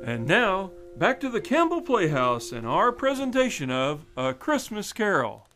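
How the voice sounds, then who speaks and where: A man's voice: high voice, huge pitch swings, a little slow, fairly bright tone, faint music behind. A man announcing a radio drama in old-time broadcast style. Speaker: programme announcer.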